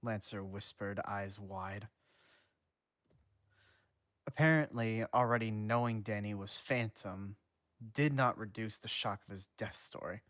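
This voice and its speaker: A man reading fan fiction aloud on a stream, informal and unhurried, chatting as he goes. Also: lowish voice, a bit bright, no room sound, studio quality. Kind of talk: informal live story reading with banter.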